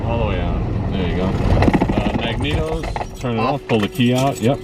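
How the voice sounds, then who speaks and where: A man's voice: medium pitch, lively intonation, normal speed. A man working as a flight instructor, explaining procedures step by step in an instructive way inside a small airplane. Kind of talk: calm step-by-step cockpit instruction. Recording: very noisy light-aircraft cockpit, distant at times.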